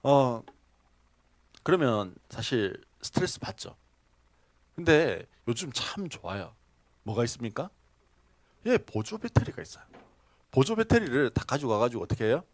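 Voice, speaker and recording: Lively-voiced man preaching a sermon. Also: mid-range voice, normal speed, pauses often, no room sound. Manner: storytelling with questions to the congregation, laughing asides. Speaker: pastor. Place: church sanctuary.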